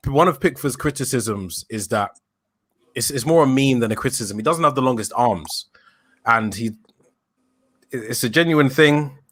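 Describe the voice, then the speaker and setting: A young man in his early twenties, a podcast host, calmly building his case in a casual football chat. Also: medium pitch, normal speed, long pauses. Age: young adult. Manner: thoughtful, builds an argument with hesitations.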